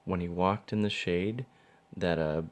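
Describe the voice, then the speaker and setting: A man's voice: low voice, steady tone, a little slow. A man reading a children's storybook aloud as narrator. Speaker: father reading a picture book.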